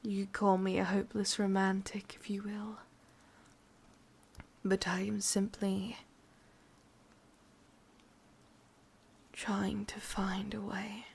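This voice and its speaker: Casting: actress as possessive yandere witch for ASMR roleplay, English accent, breathy and husky, unhurried, quietly menacing. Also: medium pitch, exaggerated voice. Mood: possessive longing. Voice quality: breathy whisper. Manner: slow, deliberate, softly menacing. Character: sadistic, lovelorn, ancient yandere witch, commanding and possessive.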